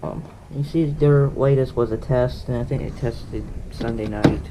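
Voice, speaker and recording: A man's voice: medium pitch, moderate pace, dark tone. A man giving a casual, unscripted show-and-tell as a hobbyist, rambling offhandedly and often correcting himself. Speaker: hobbyist showing a radio collection. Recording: noisy handheld camera audio with clicks.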